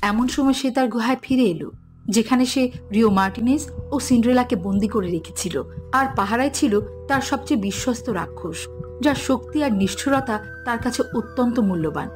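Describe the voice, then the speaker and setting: A woman's voice: on the high side, a little fast, music in the background. A woman giving scripted narration for a fairy-tale cartoon.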